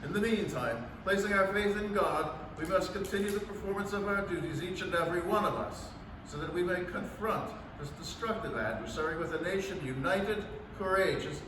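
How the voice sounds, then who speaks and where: A man playing a solemn government official giving a formal, measured national address in a staged radio drama reading. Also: high-pitched, lightly acted. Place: library room, staged reading filmed from a distance. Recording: echoey, noisy hall from far off.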